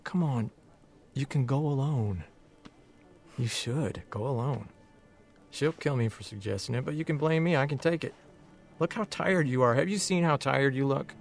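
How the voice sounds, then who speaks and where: An actor playing a concerned newspaper editor and old friend in an audio drama, persuasive, placating and teasing. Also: male voice, mid-range voice, moderate pace, creaky, pauses often, faint music behind, close to an everyday voice. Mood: coaxing. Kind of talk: naturalistic audio drama acting.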